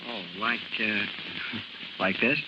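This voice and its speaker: An actor playing a shy, green, good-natured young carnival lad, bashful and hesitant, in a 1930s radio drama. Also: male voice, on the low side, fairly even intonation, slow pace. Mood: flustered. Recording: dull, noisy 1930s radio broadcast recording.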